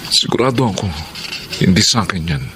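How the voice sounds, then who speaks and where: A man playing a smooth-talking, evasive Filipino womanizer in a romantic radio drama. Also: deep voice, lively intonation, fairly quick, very noisy. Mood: defensive, insistent.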